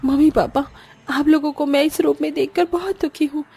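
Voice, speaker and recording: A woman's voice: high-pitched, fairly even intonation, moderate pace, creaky, mellow. A woman voicing a sorrowful young bride in a cartoon story, earnest, plaintive and pleading. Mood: sad pleading.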